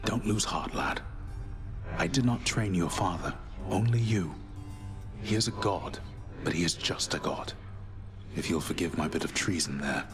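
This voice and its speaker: A very deep voice of a grave elder warrior. He is solemn and measured, with formal fantasy-game phrasing over clear background music. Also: lively intonation. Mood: solemn, encouraging.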